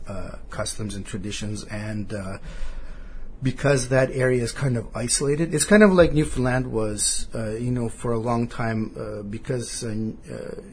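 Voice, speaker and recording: A middle-aged man from Western Canada, talking as an interview guest in a relaxed, rambling way, with lots of fillers, restarts and small chuckles. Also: fairly low pitch. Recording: faint background noise.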